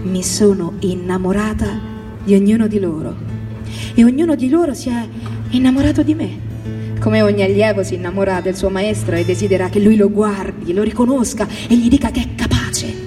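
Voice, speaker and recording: A woman of medium pitch reads a personal text in a deliberate, measured style. Her voice sits in long reverb, away from the microphone. Clear instrumental guitar music plays beneath the reading. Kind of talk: literary first-person reading on a radio show. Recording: distant, very reverberant, music underneath.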